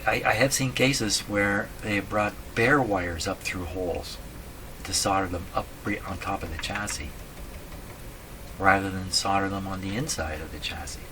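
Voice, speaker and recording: A man thinking aloud in a casual, halting way as he explains a repair at his workbench, often putting questions to himself. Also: deep voice. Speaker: radio repair hobbyist, host. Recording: clearly noisy room recording.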